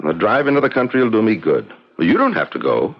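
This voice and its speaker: Gravelly, stubborn husband. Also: male voice, on the low side, huge pitch swings, quick pace, full character voice. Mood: evasive, determined. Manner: terse, dismissive. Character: stubborn, preoccupied husband, gruffly dismissive. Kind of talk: 1970s radio drama acting. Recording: dull old radio drama recording.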